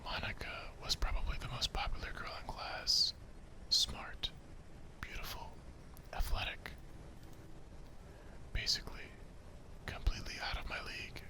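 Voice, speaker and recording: A man reading visual novel narration in a hushed, deliberate ASMR whisper.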